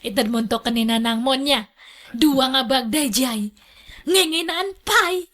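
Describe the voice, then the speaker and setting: A woman's voice: sits high, a little slow, a bit bright. A woman acting a flirtatious, wealthy lady boss in a radio drama, coy and teasing. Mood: flirty, teasing.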